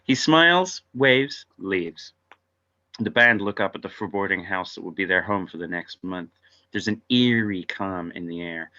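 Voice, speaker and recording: A man's voice: deep voice, lively intonation, moderate pace, bright, forceful tone. A man reading stage directions as narrator at a table read of a script, on a dull microphone.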